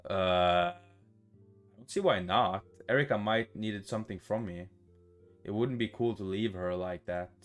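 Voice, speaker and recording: A man reading a visual novel aloud for a gaming video in a casual, expressive, sweary way, adding his own playful reactions. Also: fairly low pitch, unhurried, faint music behind.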